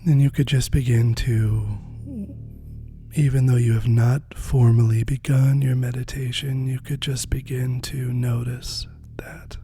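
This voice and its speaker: A meditation guide speaking softly and gently, unhurried with long pauses, often dropping close to a whisper in a spiritual guided practice. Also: medium pitch, flat delivery, faint music behind.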